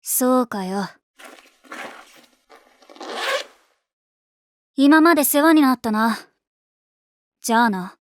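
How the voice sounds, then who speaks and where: A woman voice-acting a brash, hot-tempered high school tomboy in an anime, with rough, slangy, boyish speech and a blunt, defensive manner. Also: expressive, a little slow, dry recording. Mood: bitter.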